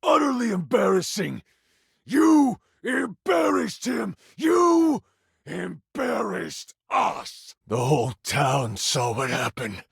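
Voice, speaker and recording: A man voicing a vengeful, theatrical tiger-man villain in a video game, raspy and grandiose. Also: sits high, sing-song, slow, no room sound, clean studio sound, exaggerated voice. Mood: outraged. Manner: grandiose dramatic monologue, swinging from menacing hush to outraged outbursts. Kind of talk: video game character acting.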